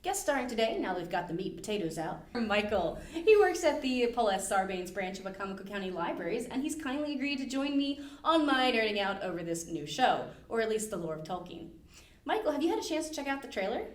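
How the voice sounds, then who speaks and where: A woman's voice: on the high side, sing-song, fairly quick. A woman hosts a library podcast and reads a scripted overview with plenty of rise and fall in her voice. She drops in casual, wry asides, and the room around her has a noticeable echo. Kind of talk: scripted narration of lore. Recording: roomy echo.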